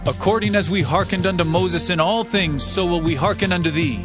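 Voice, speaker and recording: An earnest, loyal male follower played by an actor declaims in a high voice, with music underneath. The setting is an ancient scripture drama. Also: brisk, natural voice. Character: loyal Israelite man pledging obedience.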